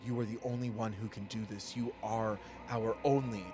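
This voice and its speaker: Lowish-voiced man streaming a game. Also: steady tone, normal speed, background noise. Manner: playful, thinking aloud. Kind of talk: live gameplay commentary, reading choices aloud. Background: game music.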